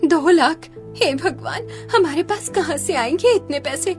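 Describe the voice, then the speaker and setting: High-voiced, tearful poor village wife played by a woman. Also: expressive, measured pace, music in the background. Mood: tearful despair. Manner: pleading, tearful. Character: distraught poor young village wife pleading for help. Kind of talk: Hindi cartoon story voice acting.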